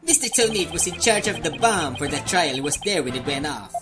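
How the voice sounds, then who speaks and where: Lady doing a sneery pompous prosecutor guy from a courtroom game, low voice, music behind. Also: lively intonation. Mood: oily, formal. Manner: condescending, pompous, drawn-out. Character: smug, sneering male prosecutor with a grudge. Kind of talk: anime-style video game fandub. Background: prominent game music.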